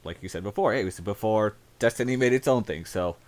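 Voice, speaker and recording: A man's voice: lowish voice, fast, darkish tone. A man hosting a casual chat in an encouraging manner. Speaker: host.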